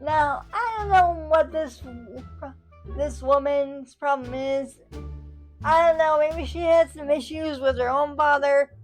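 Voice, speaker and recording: A woman's voice: sits high, takes it slowly, soft background music, low-quality recording. A woman commenting on online drama, hesitant and musing, often trailing off. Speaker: commentary youtuber. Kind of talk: slow reflective commentary.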